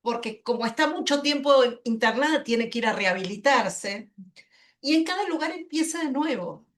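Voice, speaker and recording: A woman with an Argentine accent giving a spontaneous panel presentation, emphatic and deliberate, repeating words as she builds her point. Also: sits high, lively intonation, a little hiss or hum. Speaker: panelist, health-rights lawyer.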